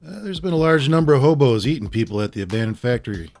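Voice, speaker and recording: A man's voice: mid-range voice, animated, fast, darkish tone, faint music behind, natural voice. A man playing an easygoing, slightly hesitant police colleague in a comic audio drama. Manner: casual, hesitant.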